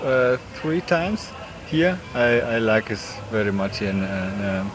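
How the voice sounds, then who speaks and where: A Romanian man in his fifties with a Romanian accent, answering interview questions haltingly and searching for words. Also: fairly low pitch, expressive, over music. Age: 50s. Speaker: tango teacher, interview guest. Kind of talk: interview answers, switching between two languages.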